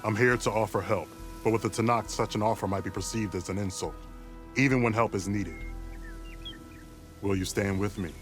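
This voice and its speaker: A man playing a low-voiced, calm veteran. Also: faint music behind, natural voice. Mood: earnest. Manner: measured, calm, persuasive. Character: steady male veteran, calm and diplomatic. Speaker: game character.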